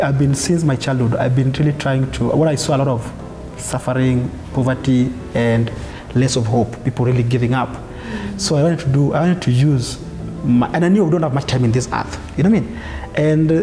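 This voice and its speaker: Kenyan man, mid pitch, passionate and halting; music bed. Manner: passionate, searching for words, says "you know what I mean". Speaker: founder of a community organization. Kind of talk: interview testimonial. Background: background music.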